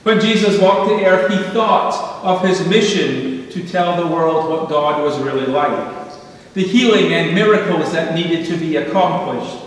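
A middle-aged man preaching a sermon from a church pulpit, slow and measured, rising for emphasis on repeated phrases. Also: fairly high pitch. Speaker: pastor. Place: church sanctuary, standing at a pulpit. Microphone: pulpit microphone heard from a distant camera. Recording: echoey church hall from far off.

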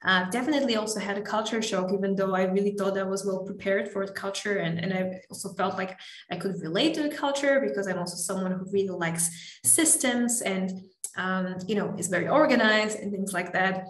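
A woman's voice: mid-range voice, fairly quick. Slovak woman guest in her thirties, hesitant and chatty, roomy video-call sound. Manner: hesitant, many restarts and fillers. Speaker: interview guest. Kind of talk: casual interview chat. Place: home room, indoors. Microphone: earphone headset on video call.

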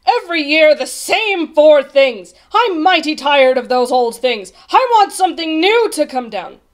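Storybook king, old, hot-tempered and imperious, played by a woman; loud, bellowing, swooping delivery. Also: sits high, big pitch swings, unhurried, voice pushed up. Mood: angry, bellowing. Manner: bellowing, commanding, blustering. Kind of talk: storybook character voice.

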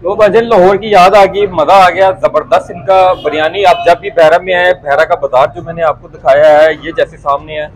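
A man's voice: a little high, fairly even intonation, fairly quick, few pauses, a little hiss or hum, poor audio. A man, chatting upbeat to viewers while vlogging through a market, filmed on a handheld phone with a lapel mic. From Lahore, Pakistan. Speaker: travel vlogger. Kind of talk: walking market vlog to camera. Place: busy indoor market shops. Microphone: phone held at arm's length with lapel mic.

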